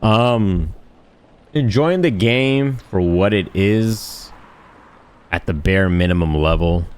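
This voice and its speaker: Low voiced guy doing gameplay commentary, blunt and kinda crude, rambles a lot, super casual slang. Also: lively intonation, slow, smooth. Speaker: gaming video host.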